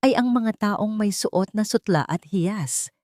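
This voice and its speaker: A woman narrating a scripted dramatic story in a solemn, deliberate, melodramatic way. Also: mid-range voice, darkish tone, dry recording, studio quality.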